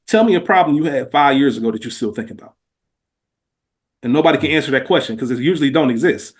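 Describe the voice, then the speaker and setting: A man's voice: medium pitch, expressive, fast talker. An American man co-hosting a podcast in casual, reflective conversation, earnest and slangy, repeating phrases for emphasis. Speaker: podcast co-host.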